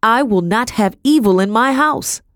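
An imperious mistress of a household, acted out by a woman narrator, shrill and superstitious. She snaps out commands with cruel force, as in a dramatic storybook, recorded close and dry. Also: lively intonation, moderate pace, darkish tone, dry recording, close to an everyday voice. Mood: angry, commanding.

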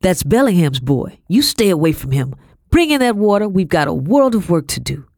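A bossy middle-aged housekeeper who barely stops for breath, as a woman reads her in a storybook. She talks high and loud with rough country grammar, and the recording is dry and close. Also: sing-song, dry recording. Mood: scolding. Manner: brisk, scolding, rustic grammar. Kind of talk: audiobook character voice.

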